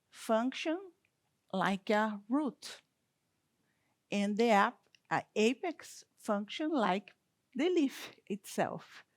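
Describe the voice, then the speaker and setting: A woman with a Brazilian Portuguese accent, a university plant scientist giving a conference talk in careful, halting non-native English with restarts. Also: a little high, darkish tone, no room sound, clean studio sound.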